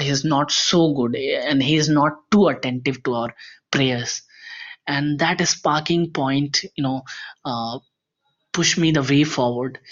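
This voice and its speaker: Dull lo-fi recording, halting Indian man. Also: a little high, fairly bright tone. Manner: earnest, halting with frequent pauses. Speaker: voicemail caller. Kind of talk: personal testimony, unscripted. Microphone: voicemail recording. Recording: dull lo-fi voice message.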